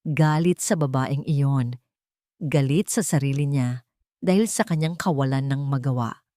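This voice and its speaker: A woman's voice: very deep voice, unhurried, warm tone, dry recording, clean studio sound. A woman narrator reading a scripted dramatic story.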